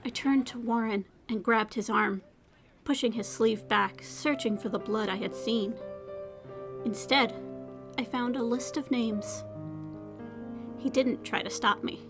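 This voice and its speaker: Young female survivor, played by a woman: fairly high voice, defiant, sardonic, world-weary, audio drama with clear music bed. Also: a little slow, long pauses. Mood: reflective narration. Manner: sardonic, emotionally raw. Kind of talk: scripted audio drama acting with first-person narration.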